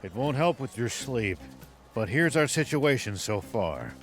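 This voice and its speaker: An actor playing a principled veteran general in a video game, earnest, direct and persuasive. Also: male voice, medium pitch, big pitch swings, unhurried. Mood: concerned. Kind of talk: dramatic video game voice acting. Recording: prominent music behind the voice.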